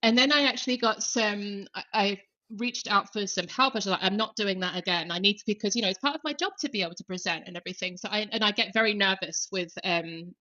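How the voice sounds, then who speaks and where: A woman's voice: medium pitch, quick pace, pushed and bright, lo-fi sound. A woman guest on a podcast, talking casually with lots of fillers and restarts. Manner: chatty, many fillers and self-corrections.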